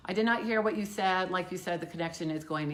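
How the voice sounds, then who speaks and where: An older woman co-hosting a casual live stream with a loud voice, chatting in a rambling, self-interrupting way, recorded on a phone without a clip-on mic. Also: fairly low pitch, fast talker. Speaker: co-host. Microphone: phone camera, no clip-on mic.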